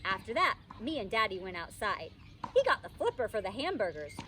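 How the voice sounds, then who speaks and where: Animated woman reading a storybook, slightly distant. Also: medium pitch, fairly quick. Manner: expressive, childlike first-person narration. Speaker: teacher reading a children's book aloud. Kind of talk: animated storybook read-aloud. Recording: distant microphone, faint background noise.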